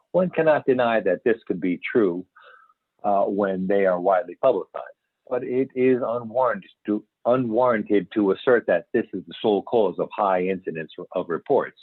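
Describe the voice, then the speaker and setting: A man playing an earnest, assured UFO scientist in an amateur script reading, explaining emphatically with some halting stumbles. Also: fairly low pitch. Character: male expert scientist investigating UFO reports, earnest and assured. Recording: tinny phone-like conference call, echoey room.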